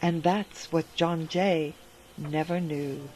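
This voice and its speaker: Slow, gentle woman reading a rhyming story. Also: low voice, fairly even intonation, over loud music, poor audio. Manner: gentle, sing-song storytelling. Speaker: narrator.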